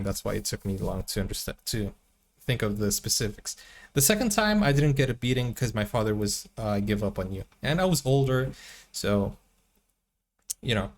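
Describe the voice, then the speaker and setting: A man hosting a movie reaction, chatting casually and rambling through personal stories, a native Portuguese speaker talking in English. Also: mid-range voice. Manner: chatty, rambling, laughs often. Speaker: reaction channel host.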